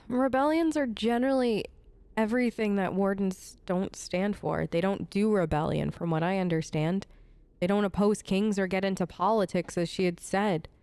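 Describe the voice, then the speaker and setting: A woman's voice: mid-range voice, moderate pace, darkish tone, clean tone, no echo. A woman giving casual, playful gameplay commentary, chatting and reacting aloud. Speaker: gamer hosting a role-play let's play.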